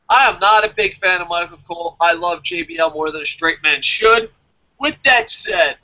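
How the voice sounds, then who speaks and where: High-pitched, animated man talking wrestling. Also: low-quality recording. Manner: profane, emphatic, rambling. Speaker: wrestling review show host. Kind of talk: casual opinionated chat.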